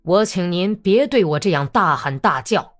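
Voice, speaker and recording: Woman as a curt, defiant Cossack commander, low-voiced. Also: very animated, moderate pace, darkish tone, soft background music. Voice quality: low, muffled. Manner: terse, stubborn, menacing. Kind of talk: audiobook character acting.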